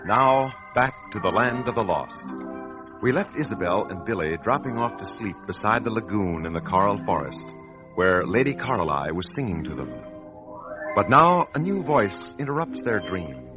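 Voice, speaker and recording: A man's voice: lowish voice, expressive, normal speed, over music, poor audio. A man announcing and narrating in a scripted, emphatic 1940s children's radio style. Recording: old radio broadcast recording.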